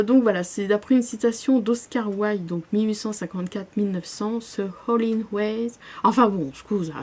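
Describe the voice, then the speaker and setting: A woman's voice: mid-range voice, mellow. Hesitant woman chatting about books. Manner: hesitant, many fillers and restarts. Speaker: book vlogger. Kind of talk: casual chat about her reading.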